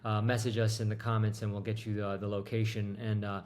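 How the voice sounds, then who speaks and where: Chatty host, halting with fillers. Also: male voice, on the low side, flat delivery, a little mellow. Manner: rambling, lots of fillers. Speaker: podcast host. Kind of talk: casual interview.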